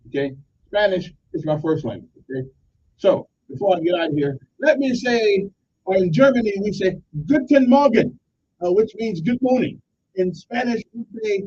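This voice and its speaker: A man's voice: high voice, dark tone, slightly rough, lo-fi sound. A man hosting a radio talk show with a Southern US accent, rambling and joking, often tagging his points with "okay?". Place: radio studio.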